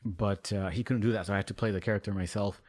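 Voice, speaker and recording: A man with a low voice talks casually about how he made his video, rambling with plenty of fillers. The sound is dry and close. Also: steady tone, quick pace, a little mellow, dry recording. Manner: rambling, many fillers, laughs easily. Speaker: musician and video maker.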